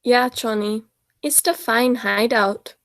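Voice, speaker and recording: Teen girl playing a meek little doctor henchman in a radio play, really slow talker, high voice. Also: a bit bright. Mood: meek, eager. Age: teens. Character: meek, hungry male doctor, criminal's sidekick. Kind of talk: school radio play acting.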